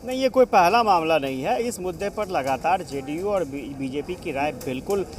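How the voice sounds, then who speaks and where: A man's voice: fairly high pitch, expressive, normal speed, slight background noise. A man giving an unscripted, explanatory live political report as a news correspondent.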